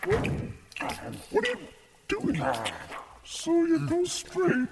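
Actor as snarky ship computer, extremely high voice, chilled-out slang, audio comedy. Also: sing-song, takes it slowly, over a noisy crowd, full character voice. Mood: casual, nosy. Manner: casual slangy replies.

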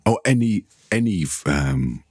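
A man with a very deep, husky voice that moves widely in pitch. He muses tentatively, as a podcast co-host in casual discussion. The sound is close and dry. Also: lively intonation, a little slow, a little mellow, no echo. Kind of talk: casual discussion of an audio drama.

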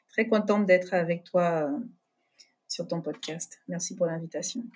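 A woman's voice: fairly low pitch, slow, a little mellow, husky. A middle-aged woman, a guest in a remote interview, talking in a hesitant way with many fillers and restarts. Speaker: podcast guest, entrepreneur. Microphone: remote call.

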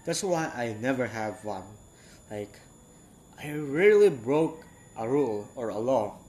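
Halting young man from Southeast Asia. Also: mid-range voice, expressive, darkish tone. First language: not English. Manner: halting, rambling, frank, self-correcting. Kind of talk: casual personal monologue.

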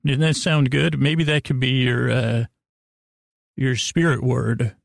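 A man hosting a podcast with a silly improvised monologue to an imaginary guest, halting and stammering as he meanders and restarts in mock earnest. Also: medium pitch, little pitch movement, vocal fry, no echo, studio quality. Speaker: podcast host.

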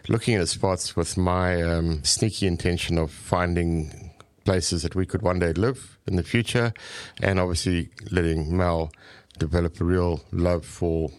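A man's voice: low-pitched, fairly even intonation, unhurried, no echo. A middle-aged man with a southern African accent, telling stories conversationally as a podcast guest. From Zambia and South Africa. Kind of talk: conversational storytelling.

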